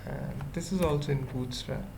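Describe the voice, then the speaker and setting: A person thinking aloud through an unscripted coding tutorial, murmuring short halting fragments with long pauses. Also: mid-range voice, vocal fry. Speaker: web developer giving a tutorial. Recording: noisy room with keyboard typing. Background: computer keyboard typing.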